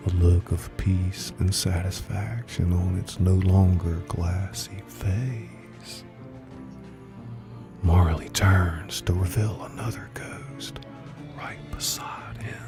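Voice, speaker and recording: A man narrating a scripted dramatic story in a deliberate manner, sometimes hushed. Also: low-pitched. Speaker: narrator of a live story reading.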